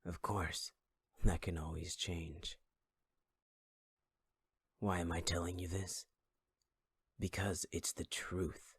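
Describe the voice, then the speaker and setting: A man voicing a taunting young male fire villain in an audio roleplay, speaking in a husky, mocking, intimate voice with close whispers. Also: deep voice, flat delivery, slow pace, long pauses, no echo, full character voice. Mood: cruel. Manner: mocking, cruelly patient, intimate. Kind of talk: ASMR audio roleplay acting.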